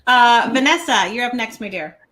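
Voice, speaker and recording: A woman co-host calling on each guest in turn on a video call, lively and affectionate. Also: fairly high pitch, moderate pace, a crowd in the background.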